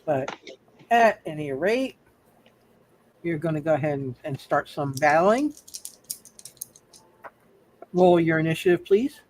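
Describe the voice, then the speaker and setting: A man's voice: fairly high pitch, lively intonation, takes it slowly, pauses often, a little mellow, slight background noise. A man narrating a live tabletop roleplaying game as game master, casually rambling and repeating his phrases.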